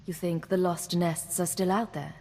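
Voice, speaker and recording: A woman's voice: fairly low pitch, level tone, normal speed, darkish tone, long reverb tail. An actress voicing a composed, direct female imperial secret agent in a video game. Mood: composed, questioning. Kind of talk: scripted video game voice acting.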